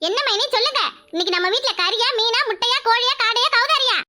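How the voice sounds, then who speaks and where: Cheeky freeloading sister-in-law, put on by a woman, very high, bright and quick, teasing sing-song delivery. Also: slight background noise, full character voice. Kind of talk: village family comedy skit.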